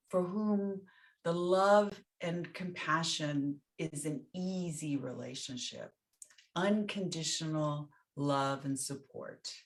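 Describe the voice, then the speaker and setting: A woman with a low voice guides a meditation at a very slow pace. Her delivery is gentle and soothing, with short phrases set apart by pauses, as a teacher leading listeners through each step.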